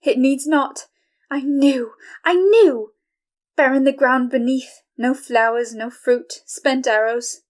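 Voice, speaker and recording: Actress as high-voiced, frightened, defiant young wife. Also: female voice, fairly even intonation, takes it slowly. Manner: declamatory, poetic.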